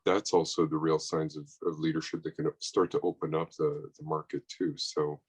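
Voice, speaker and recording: Deep-voiced man, measured panelist. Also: level tone, slightly rough. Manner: measured, thoughtful, many restarts. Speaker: panelist, bank sustainability executive. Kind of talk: panel discussion answer.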